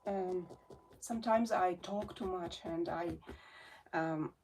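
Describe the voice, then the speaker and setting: A woman's voice: fairly low pitch, slow pace, darkish tone, slight background noise. A woman in her forties from Russia, speaking English as a second language, hosts her felting channel in a casual, hesitant chat full of fillers and restarts. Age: 40s. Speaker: felting artist and channel host. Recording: voice somewhat distant from the mic.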